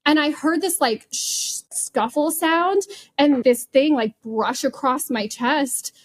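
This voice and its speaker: A woman's voice: sits high, takes it slowly. A woman podcast panelist telling personal anecdotes in an informal, conversational way. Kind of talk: casual chat, personal anecdotes.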